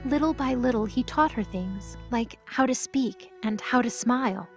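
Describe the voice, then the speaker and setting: A woman's voice: on the high side, moderate pace. A woman narrating a scripted audio drama as a storyteller. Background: music.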